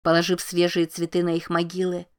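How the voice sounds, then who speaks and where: Woman reading an audiobook, lowish voice, pretty flat and steady, calm measured reading. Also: clean tone. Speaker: audiobook narrator. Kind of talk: scripted novel narration.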